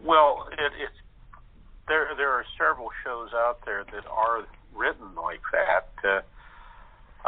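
A mature man, a radio-play performer, chatting as a guest in an earnest, halting way. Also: on the low side, creaky, slightly rough. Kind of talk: casual chat. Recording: tinny telephone line.

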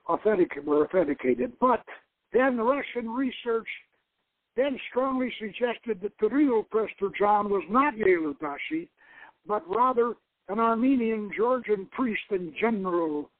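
High-pitched man reading, thin phone-like sound. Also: no room sound. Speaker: host reading his adventure novel aloud. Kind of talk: dramatic audiobook-style reading.

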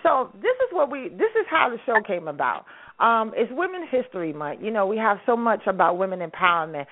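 A woman's voice: medium pitch, very animated, a little fast. A woman from Harlem hosting a call-in radio panel, chatty and laughing often. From Harlem, New York. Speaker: radio show host. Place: phone call. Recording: narrow phone-line sound.

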